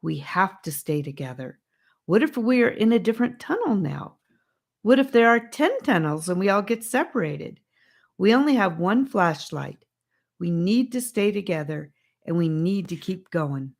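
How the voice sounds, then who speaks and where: Lady doing a level-headed storybook boy, measured and reassuring, lots of up and down in the voice. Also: mid-range voice, expressive, gravelly, pitched above the performer's own voice. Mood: calm, firm. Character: calm, sensible boy leading younger children.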